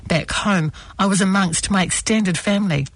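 Husky-voiced woman reading a personal testimony slow and earnest, close mic, dry sound. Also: medium pitch, no echo. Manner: measured, earnest, with retakes of lines.

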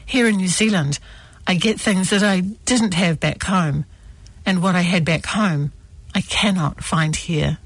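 Husky-voiced woman reading a personal testimony slow and earnest, close mic, dry sound. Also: fairly low pitch, no echo. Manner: measured, earnest, with retakes of lines.

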